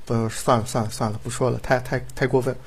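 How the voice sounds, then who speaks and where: A man's voice: medium pitch, flat delivery, quick pace, a little hiss or hum. A man speaking as an interview guest in casual chat, rambling and repeating himself, often adding tag questions. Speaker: audio drama director, interview guest.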